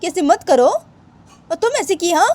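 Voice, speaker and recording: A woman's voice: very high voice, very animated, unhurried, darkish tone, pitched above the performer's own voice, heavily acted. A woman voicing an angry, scolding grandmother in a story, pressing insistent, repetitive questions. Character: scolding, angry grandmother berating her daughter-in-law.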